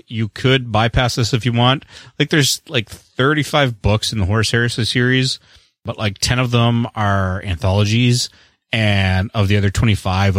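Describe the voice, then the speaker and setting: A man's voice: lowish voice, a bit bright, no echo, studio quality. A man co-hosting a podcast, chatting casually in a rambling, wry way about movies and books. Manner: rambling, wry, self-deprecating. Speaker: podcast co-host. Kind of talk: casual chat.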